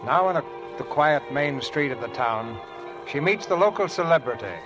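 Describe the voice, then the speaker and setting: A man hosting a 1940s radio drama with formal, measured, portentous scripted narration. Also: a little high, animated, muffled recording. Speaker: radio producer and host. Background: music.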